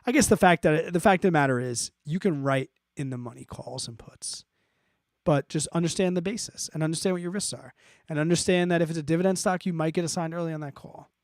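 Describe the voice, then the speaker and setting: A man's voice: fairly high pitch, fast talker, dry recording, studio quality. A man hosting an investing live stream, explaining off the cuff in an informal, blunt way, thinking aloud and checking in as he answers viewer questions.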